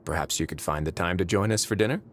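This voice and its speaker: A man's voice: low-pitched, fast talker, noisy background. A man voicing a suave prison warden in a game, courteous with a dry, sarcastic edge. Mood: wry. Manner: smooth, courteous, wryly sarcastic. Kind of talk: game voice acting.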